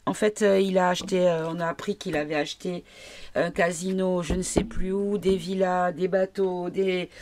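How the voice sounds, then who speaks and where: A woman's voice: on the low side, little pitch movement, normal speed, a little mellow. A woman with a cold, reading tarot cards for her viewers in a casual, hesitant way, often asking the cards questions aloud. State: has a cold. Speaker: tarot card reader.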